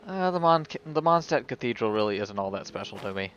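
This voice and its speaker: A man's voice: mid-range voice, sing-song, a little fast, fairly bright tone, a little hiss or hum. A man streaming a video game, giving casual live commentary and thinking aloud as if talking to himself. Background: video game music.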